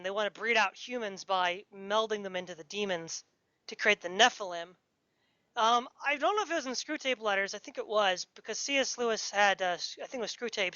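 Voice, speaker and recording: A woman's voice: medium pitch, sharp tone, no room sound, dull audio. A woman chatting casually as a podcast interviewer, talking in a rambling, enthusiastic way like a fan.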